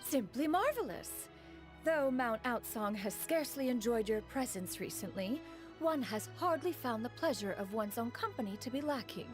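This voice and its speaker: Woman doing a proud old-fashioned crane spirit, says "one" instead of I, voice swoops up and down a lot, soft music behind. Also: on the high side, animated, normal speed, close to an everyday voice. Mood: haughty formal. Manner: archaic formal speech, calls herself "one". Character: lofty female crane spirit inventor, proud and formal. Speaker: master. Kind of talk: video game voice acting. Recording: game audio with music behind.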